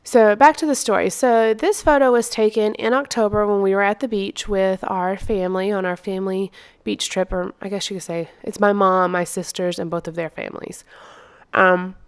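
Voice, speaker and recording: An American woman with a stuffy, congested voice gives a low-key, casual voice-over as a craft video host. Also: steady tone, normal speed, smooth. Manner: low-key, not very peppy. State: congested from being under the weather.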